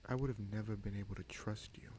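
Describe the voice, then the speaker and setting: A man playing a bitter, scheming prince in a fantasy audio roleplay, speaking deliberately with sardonic menace and dramatic pauses. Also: fairly low pitch, fairly even intonation, darkish tone, a little hiss or hum, close to an everyday voice. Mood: brooding, distrustful. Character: bitter, scheming bastard prince commanding a captive dragon.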